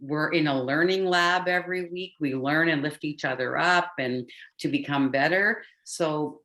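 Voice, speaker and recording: A woman's voice: sits low, normal speed, fairly bright tone. A woman from Canada speaking on a video call, chatty and self-deprecating as she answers a question in a club meeting. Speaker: club member.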